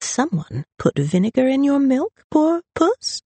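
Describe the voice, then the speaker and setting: This is a drunken young gentleman of good family, acted by a woman reader. He drawls and slurs his taunts, sulky and mocking, with a pitch that swoops about. It fits a dramatised storybook. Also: very animated, a little slow, dry recording, heavily acted. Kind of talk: dramatised audiobook character voice.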